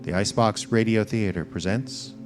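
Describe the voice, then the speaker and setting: A man with a somewhat low voice serves as a radio theater announcer. He reads scripted narration, with music clearly playing behind him. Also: moderate pace.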